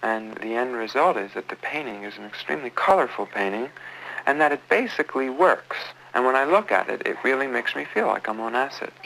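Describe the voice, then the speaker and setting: A man's voice: mid-range voice, animated, a little fast, gravelly, sharp tone, a little hiss or hum, low-quality recording. A young man talking casually and reflectively in an interview on an old film soundtrack. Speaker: interviewee, painter.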